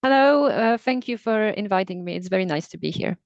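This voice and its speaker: Woman, translator being interviewed; thoughtful, candid, mid pitch, dry. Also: expressive, fairly quick, gravelly, dry recording, highs cut off. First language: Polish. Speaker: literary translator and scholar. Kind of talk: conversational interview answers.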